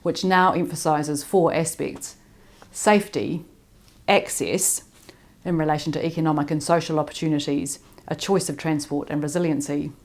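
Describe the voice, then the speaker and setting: A woman with a New Zealand accent delivers a prepared conference talk from a distance. Her voice sits low, and she paces herself slowly and deliberately. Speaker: conference speaker. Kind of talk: prepared presentation read by remote video. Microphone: recorded remotely, not in person.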